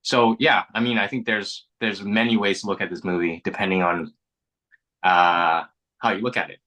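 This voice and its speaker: Low-voiced man, bright earnest tone. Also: steady tone, normal speed. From Canada. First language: Mandarin. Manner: reflective, earnest, frequent restarts and repeated words. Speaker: actor being interviewed. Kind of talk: casual interview chat.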